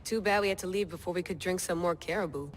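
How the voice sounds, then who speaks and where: A woman's voice: fairly low pitch, quick pace, slight background noise, lightly acted. A woman playing an upbeat, wry young researcher in a video game cutscene, casual and teasing in delivery. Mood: wry, friendly.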